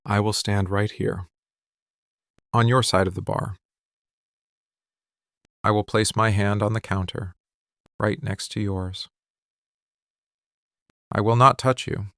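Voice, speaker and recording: Low, gravelly, slow-speaking possessive mafia boss acted by a man. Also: fairly bright tone, dry recording, clean studio sound. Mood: vulnerable, pleading. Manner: controlled, deliberate, intense, speaking in short measured statements. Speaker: voice actor. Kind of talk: boyfriend ASMR roleplay, intimate dramatic monologue. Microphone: close studio mic, dry.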